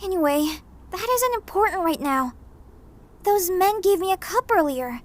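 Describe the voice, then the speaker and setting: A woman's voice: very high-pitched, lively intonation, measured pace. A woman voicing an anxious, stubborn young girl in an emotional, earnest manga dub performance. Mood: frantic, pleading. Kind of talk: anime-style manga dub acting.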